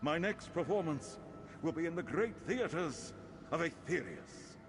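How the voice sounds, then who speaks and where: An actor playing the boastful, foppish ghost of a knightly bard in a fantasy video game, speaking in flowery archaic phrases. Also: male voice, on the high side, animated, a little slow, background noise. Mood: grand farewell. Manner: theatrical, flowery, archaic, lamenting. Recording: music behind the voice.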